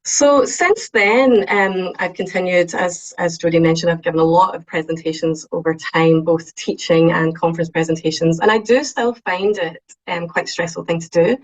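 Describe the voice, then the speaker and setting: A woman with a strong Scottish accent giving an online talk, lively and excitable, with frequent ums. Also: fairly low pitch, moderate pace, few pauses, rough recording. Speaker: invited speaker. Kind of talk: webinar talk on presenting.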